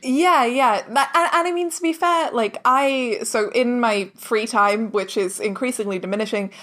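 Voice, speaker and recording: A woman's voice: fairly high pitch, moderate pace, fairly bright tone. A woman talking casually as an interview guest, informal and chatty, peppering her speech with "like" and "kind of". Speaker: interview guest, audio drama director and producer.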